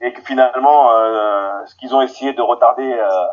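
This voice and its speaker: A man from Paris, a guest on a football podcast, answering in a halting way with many restarts, heard over a remote call. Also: medium pitch, dull audio. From Paris, France.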